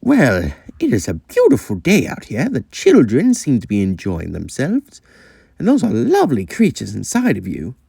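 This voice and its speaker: A kindly old gentleman engine from a children's railway tale, as a storyteller plays him. He speaks in a genteel, courteous and measured way, with a mellow tone and very little room sound. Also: mid-range voice, huge pitch swings, vocal fry, husky, dry recording, clean studio sound, heavily acted. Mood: pleasant, polite. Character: polite, kindly old male traction engine.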